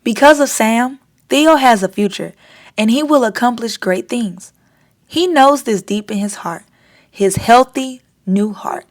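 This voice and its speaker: High-pitched woman reading a children's story. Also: measured pace. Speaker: storytime host reading aloud. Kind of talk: children's picture book read-aloud.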